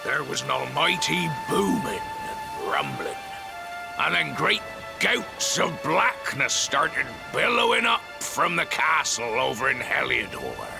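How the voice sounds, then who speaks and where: A man playing a hearty, kindly old fisherman in a video game cutscene, with gravelly, folksy seafarer talk. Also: medium pitch, huge pitch swings, slow, exaggerated voice. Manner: folksy seafarer talk, refers to himself by name. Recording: game music under the voice.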